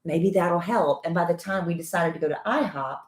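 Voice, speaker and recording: A woman's voice: fairly quick, roomy sound. A woman in her fifties co-hosting a podcast, chatting casually with her husband and telling stories animatedly. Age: 50s. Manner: animated storyteller, rising up in pitch when indignant. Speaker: podcast co-host. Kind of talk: casual chat with spouse.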